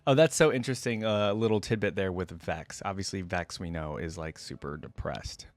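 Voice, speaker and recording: A man's voice: low voice, normal speed, no echo. A man giving casual, enthusiastic reaction commentary as a video game fan on his channel.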